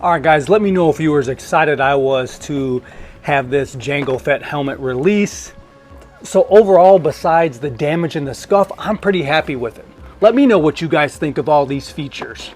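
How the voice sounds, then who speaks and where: A man's voice: a little high, expressive, normal speed, a little mellow, faint music behind. A man giving a casual, informal product review as an online collectibles reviewer.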